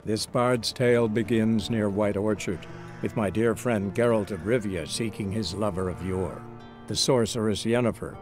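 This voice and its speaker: Man playing a low-voiced storytelling bard. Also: music in the background, lightly acted. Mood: measured storytelling. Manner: measured, dramatic storyteller. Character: male bard recounting his monster-hunter friend's adventures. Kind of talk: scripted storybook narration in a fantasy game.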